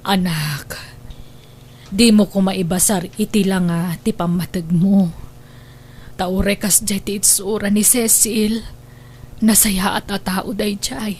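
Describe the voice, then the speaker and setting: Woman acting a gentle sad ilocano mom in a radio drama, really slow and emotive. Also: mid-range voice, fairly even intonation, slight background noise, natural voice. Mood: gentle pleading. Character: gentle pleading mother, devoted and sorrowful.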